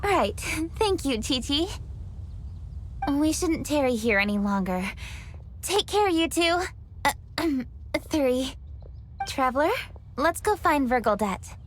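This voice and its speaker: Game noblewoman: composed, dutiful, courteous, high light pitch. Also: animated, slow pace, pauses often, a little hiss or hum. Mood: polite, businesslike. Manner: polite, articulate, composed. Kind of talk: video game cutscene acting. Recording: music behind the voice. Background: game music.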